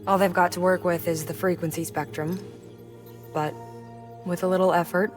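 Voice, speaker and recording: A woman in her thirties playing a weary, kind scientist mother in a video game, speaking in a soft, measured, matter-of-fact way. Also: lowish voice, little pitch movement, soft background music, lightly acted. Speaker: scientist and engineer. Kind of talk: naturalistic cinematic video game acting.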